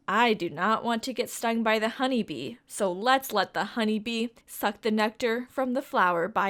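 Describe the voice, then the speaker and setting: Lively, sing-song woman reading to kids. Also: on the high side. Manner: sing-song, coaxing questions to young listeners. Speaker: children's storytime reader. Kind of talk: reading a picture book aloud to children.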